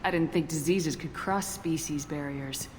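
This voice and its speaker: An actress playing a determined, coolly intimidating space soldier in a game, speaking firmly and directly with no nonsense. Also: female voice, low voice, normal speed, lightly acted. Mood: businesslike. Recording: reverberant, with faint noise.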